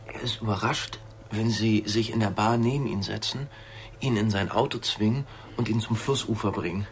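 An actor playing a gentle, troubled man who muses in everyday words, in a naturalistic radio drama. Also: fairly low pitch, moderate pace, a little hiss or hum, lightly acted. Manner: musing, troubled, colloquial. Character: gentle, brooding man haunted by violent imaginings.

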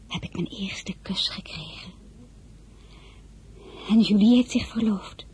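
A woman's voice: medium pitch, mellow, slightly rough. An actress narrating as a young woman telling her own story in a confiding, wry way. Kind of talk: radio drama narration.

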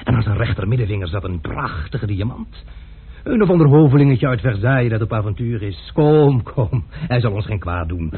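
A man's voice: on the low side, lively intonation, normal speed, husky. A wry, worldly nobleman. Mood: amused. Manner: ironic, amused, lordly, chuckling. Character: worldly older nobleman, amused swordsman gambler. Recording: tinny lo-fi old radio broadcast.

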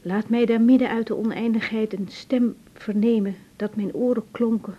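An actress playing a compassionate, troubled young woman doctor in a radio drama, speaking in a hushed, hesitant, tender manner. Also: fairly even intonation, normal speed, lightly acted. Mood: solemn reciting. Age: young adult. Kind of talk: naturalistic 1980s radio drama. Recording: dull, muffled tape with faint rumble.

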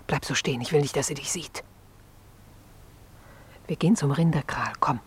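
An actress playing a nervous young woman hiding her lover in a radio drama, hushed and breathy. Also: low voice, normal speed. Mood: urgent, secretive. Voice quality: breathy, hushed, half-whispered. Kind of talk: German radio play acting.

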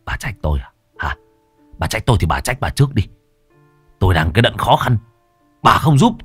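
A man voicing a surly, callous young son who snaps back fast and curt. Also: lowish voice, big pitch swings, fairly bright tone, slightly rough, no echo, soft background music, exaggerated voice. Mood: belligerent. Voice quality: curt, rapid.

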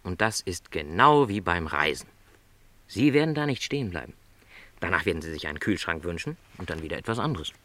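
A middle-aged travelling salesman in a literary radio play. His voice is low, recorded very dry. He is a lonely, gentle dreamer who talks reflectively, with resignation and a touch of apology. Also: lively intonation, brisk, no room sound. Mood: resigned, thoughtful.

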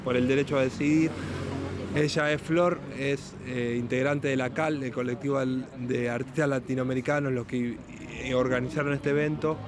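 A man's voice: mid-range voice, fairly even intonation, normal speed. A man with a Rioplatense Argentine accent asking questions in a casual, conversational interview. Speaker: radio interviewer. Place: busy brewery bar hosting an event. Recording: crowded venue with urban background noise. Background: crowd and street noise.

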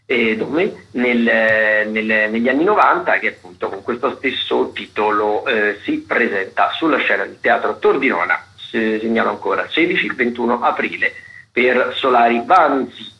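A man's voice: mid-range voice, animated, moderate pace. A man, a theatre critic giving the week's stage listings on radio in a hesitant, casual way with many fillers and restarts. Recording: dull lo-fi radio broadcast.

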